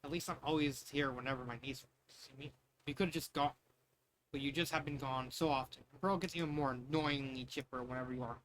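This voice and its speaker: A man's voice: medium pitch, steady tone, fairly quick, fairly bright tone, lightly acted, poor audio. A man reading the part of a dry, disdainful royal woman in a story, with faint noise behind. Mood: deadpan scorn. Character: deadpan, scornful royal sister-in-law, a woman.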